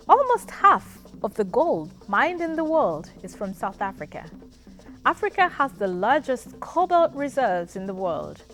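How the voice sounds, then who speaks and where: Ugandan lady doing educational narration, kinda high voice, explains stuff with emphasis, faint music behind her. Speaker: presenter.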